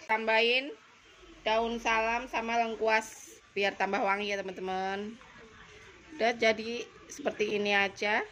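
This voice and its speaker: A woman's voice: on the high side, a bit bright. A woman in her thirties with a nasal, stuffed-up voice from a cold, hosting a casual home cooking vlog and chatting to her viewers as friends. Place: home kitchen. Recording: noisy home kitchen recording.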